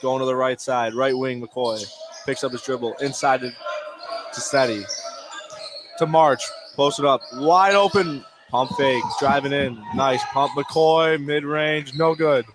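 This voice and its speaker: A man doing live play-by-play basketball commentary for radio in clipped phrases, lifting into excited calls on big plays. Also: medium pitch, measured pace. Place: indoor basketball gymnasium. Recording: noisy gym ambience. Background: gym noise, bouncing basketball.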